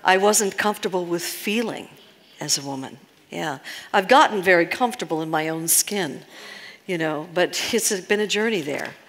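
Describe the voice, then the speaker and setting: A woman's voice: lowish voice, moderate pace, fairly bright tone. A woman in her sixties leading a live workshop, earnest and asking the audience questions. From United States. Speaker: seminar leader. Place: large seminar hall. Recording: long reverb of a seminar hall.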